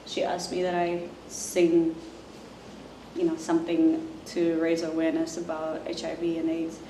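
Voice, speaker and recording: A woman speaks softly and haltingly, filling her pauses with ums. Her voice sits low and mellow with little rise and fall. She sounds set back from the mic in an echoey room with a faint hiss. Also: completely flat delivery. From Zimbabwe. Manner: soft-spoken, halting, many fillers. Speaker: musician. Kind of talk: casual self-introduction to camera. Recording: roomy, distant mic, faint noise.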